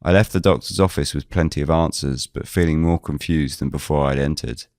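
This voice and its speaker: A man reading scripted first-person mystery narration in measured, formal phrasing. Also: rumbling low, darkish tone, no echo, clean studio sound. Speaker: narrator and lead of an audio drama.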